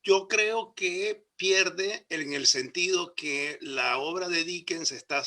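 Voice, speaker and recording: A man from Nicaragua on a discussion panel, speaking in a measured, thoughtful way with pauses. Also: sits high, bright, forceful tone.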